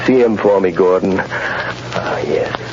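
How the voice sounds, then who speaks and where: A man playing a mysterious, commanding vigilante in an old-time radio drama, with deliberate, dramatic delivery. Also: sits low. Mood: intense concentration. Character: mysterious commanding male mind-reading vigilante, urgent and intense. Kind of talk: 1930s-40s radio acting. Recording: muffled, noisy old radio broadcast recording.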